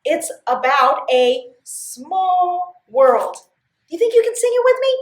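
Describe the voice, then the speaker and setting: A woman reading a story to young children in an expressive, sing-song way, often putting questions to her listeners. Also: very high voice, slow, reverberant. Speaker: library story time host and reader.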